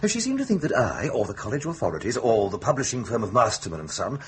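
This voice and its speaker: Actor doing a genial publisher chap in a spooky radio drama, stammery and flustered, pitch all over the place, slight hum. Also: male voice, big pitch swings, fast talker, close to an everyday voice. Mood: genial, amused. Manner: stammering, urbane, exclamatory. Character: genial, flustered publisher, puzzled and eager to reason. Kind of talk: period ghost-story radio drama acting.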